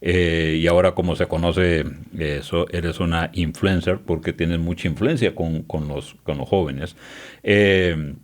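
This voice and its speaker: Low-voiced hesitant male podcast host. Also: fairly even intonation, unhurried. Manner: hesitant, frequent "eh" fillers, polite. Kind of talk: conversational podcast interview.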